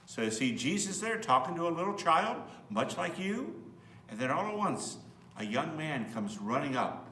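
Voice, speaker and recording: A man's voice: a little high, big pitch swings, normal speed, sharp tone. A man reading a children's Bible story aloud as a priest, expressively, with simple asides to young listeners. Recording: roomy, distant mic, faint hum.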